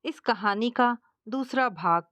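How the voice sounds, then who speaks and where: A woman's voice: a little high, takes it slowly, a bit bright. A woman reading a scripted first-person family story as a storyteller.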